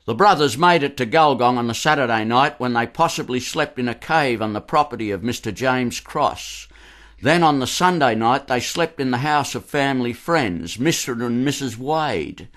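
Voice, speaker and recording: A man with an Australian accent reading a scripted historical narration for radio in a measured storytelling manner. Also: mid-range voice, a bit bright.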